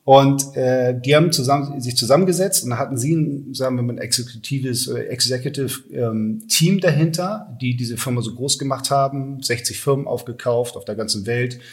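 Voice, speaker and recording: A man's voice: mid-range voice, normal speed, hardly any pauses. A man, talking casually and matter-of-factly as an interview guest. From Hamburg, Germany. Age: 40s. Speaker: podcast guest, venture capitalist.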